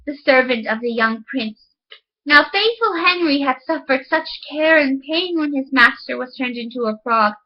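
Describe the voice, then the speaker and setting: A woman reading a fairy tale aloud as a storyteller, recorded with slightly dull, lo-fi sound. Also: sits high.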